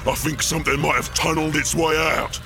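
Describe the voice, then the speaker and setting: A man playing a big, dim, boastful orc boss in an audio drama, with a blustering working-class London accent and gravelly voice. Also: a little high, level tone, fairly quick, over music, lightly acted. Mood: smug, scheming. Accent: English, working-class London. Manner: blustering, rough ungrammatical speech.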